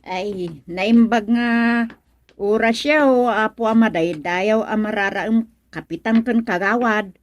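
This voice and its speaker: A woman acting an excitable, cheeky blind villager in a radio comedy drama, with a Filipino accent, full of exclamations and repeated words. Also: medium pitch, a little slow, natural voice. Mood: eager, respectful. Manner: exclaiming, stammering, repeating interjections.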